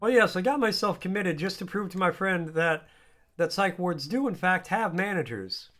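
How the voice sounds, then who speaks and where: A hoarse man streaming a video game, joking and rambling casually. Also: high-pitched, expressive, normal speed, fairly bright tone. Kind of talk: casual gaming stream chat.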